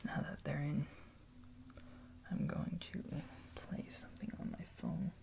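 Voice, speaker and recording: An ASMR roleplayer playing a kind, reassuring camper, whispering in a hushed, soothing way and gently checking in. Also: medium pitch, little pitch movement, unhurried, creaky. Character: kind, reassuring camper looking after a stranger.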